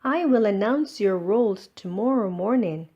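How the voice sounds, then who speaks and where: A woman's voice: medium pitch, lively intonation, normal speed, dull audio, lightly acted. A woman voicing an encouraging but firm primary school teacher in a children's storybook, announcing and reassuring.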